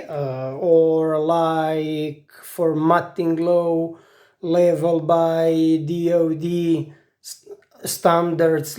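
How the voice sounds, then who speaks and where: A woman's voice: deep voice, mellow. A woman, talking to camera in a casual monologue and often stopping to search for words. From Croatia. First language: Italian. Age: 40s. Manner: halting, searching for words, many restarts. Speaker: IT specialist.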